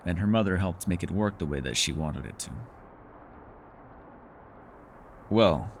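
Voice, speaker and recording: A man plays a centuries-old elf recluse in an intimate fantasy audio roleplay. His voice is low and moves widely in pitch, with measured, courteous phrasing, small hesitations and a soft wry edge. There is noticeable ambient noise behind him. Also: full character voice. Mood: wistful, guarded. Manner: measured, formal phrasing, hesitant and softly teasing. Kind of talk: fantasy ASMR roleplay acting, intimate one-sided dialogue.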